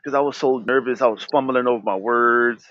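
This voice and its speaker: A man's voice: medium pitch, steady tone, fairly quick, a bit bright, no echo. A man hosting his own podcast, speaking candidly about himself with a stutter, restarting his words often. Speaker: podcast host. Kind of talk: candid personal talk. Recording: dull, lo-fi dry sound.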